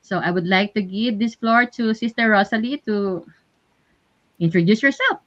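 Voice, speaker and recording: A woman's voice: mid-range voice, moderate pace, a bit bright. A middle-aged woman hosts a live online panel chat. She is bubbly, laughs easily and fills her talk with "you know". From Philippines. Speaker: host. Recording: dull lo-fi call audio.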